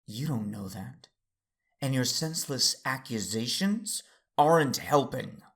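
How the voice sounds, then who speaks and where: Man as measured, weary Jedi, medium pitch. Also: lively intonation. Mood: strained, restrained. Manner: measured, earnest. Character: steady, weary male Jedi father and narrator. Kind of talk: first-person dramatic narration and acting.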